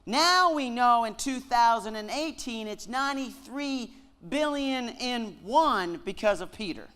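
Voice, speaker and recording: A man's voice: very high voice, lively intonation, pushed and bright, smooth. Canadian guy w a bit of southern drawl, preaching, cracks jokes, takes his time. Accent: Canadian with a slight Southern US twang. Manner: joking, with slow deliberate emphasis on key words. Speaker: guest speaker at a Bible lectureship. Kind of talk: sermon-style lecture.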